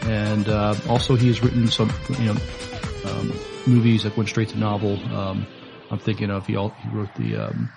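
A man co-hosting a podcast, casually introducing items in a hesitant, halting way. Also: fairly low pitch, gravelly, over music. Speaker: podcast co-host.

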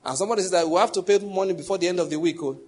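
Nigerian English accent, husky male pastor, fairly high voice, joking sermon. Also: very fast, a little mellow. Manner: conversational, humorous, laughs at his own jokes.

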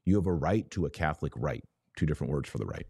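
American man, low-pitched voice, dry close sound, didactic and emphatic, live religious podcast commentary. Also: expressive, quick pace, vocal fry, a little mellow, slightly rough, no room sound. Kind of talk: live commentary answering viewer questions.

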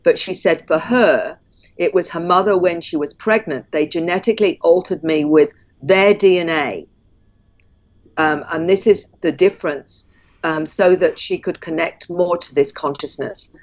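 A woman guest in an interview who explains at length in a measured way, with frequent ums. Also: on the low side, muffled recording.

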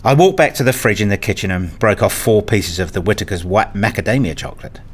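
Male narrator, lowish pitch, measured, introspective, scripted first-person audio drama storytelling. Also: breathy edge.

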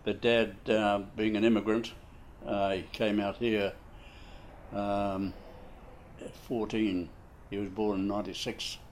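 A man in his nineties with a New Zealand accent, recalling his life in an interview, slow and halting with many fillers. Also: lowish voice, little pitch movement, slight background noise. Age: 90s. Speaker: interviewee, war veteran. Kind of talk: casual reminiscing interview.

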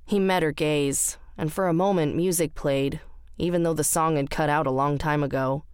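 A woman's voice: low voice, steady tone, moderate pace, a little mellow, smooth, no room sound, studio quality. A woman reading scripted fiction as the narrator of an audio drama.

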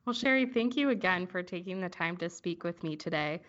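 Effusive woman, slightly low voice. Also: animated, brisk, fairly bright tone. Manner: encouraging, effusive. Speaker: podcast host. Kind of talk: podcast interview hosting. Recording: slightly dull lo-fi sound.

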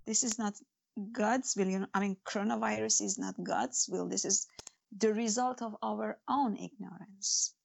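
A woman's voice: mid-range voice, steady tone, moderate pace, vocal fry, darkish tone. A woman speaking English as a second language, an author talking earnestly about faith and her stories in an interview, with many restarts and fillers. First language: not English. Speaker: author and guest. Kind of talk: spiritual interview talk.

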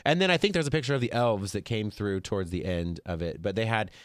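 A man with a hoarse voice hosting a casual studio talk show, chatting and laughing often. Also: lowish voice, fast, no room sound. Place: studio with a small live audience. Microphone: mic'd up in studio.

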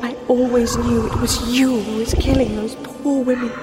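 An actress playing a murdered wife returned from the dead, reproachful and mournful, speaking slowly with tender menace in a horror audio drama. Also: female voice, on the high side, steady tone, lightly acted. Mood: mournful accusation. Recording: long hall reverb under music.

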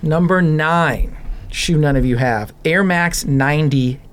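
A man with a slightly high voice chats on a casual podcast with real enthusiasm. He is emphatic and informal, and some background noise sits behind him. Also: takes it slowly. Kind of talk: casual sneaker podcast chat. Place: podcast studio.

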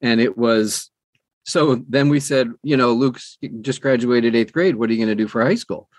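A middle-aged or older American man telling personal stories in an animated, conversational way as an interview guest. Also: medium pitch, normal speed, no room sound. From United States, New Jersey. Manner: animated, acts out quoted dialogue. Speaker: interview guest, audiobook narrator. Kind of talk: conversational storytelling.

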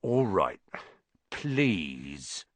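Storybook caretaker male reader: grumpy, demanding, impatient man with lively pitch swings, dry sound. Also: extremely slow, no echo, exaggerated voice. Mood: exasperated. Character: irritable, impatient male school caretaker on the hunt.

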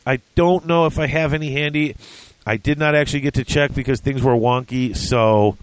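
A man's voice: mid-range voice, fairly even intonation, moderate pace, smooth, dry recording, rough recording. A man hosting a casual podcast, congested and breathing hard, chatty and self-deprecating with many fillers. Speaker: podcast host.

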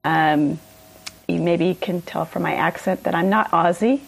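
A woman, introducing herself as a guest slowly and haltingly. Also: on the low side, creaky, rough recording. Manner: slow, halting, with fillers. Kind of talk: personal introduction in conversation.

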